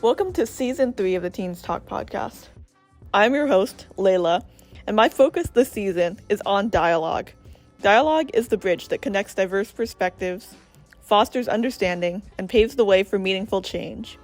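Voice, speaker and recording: A woman's voice: medium pitch, normal speed. Woman host reading an intro over faint music. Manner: even, read-aloud delivery. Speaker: podcast host. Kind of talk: scripted intro.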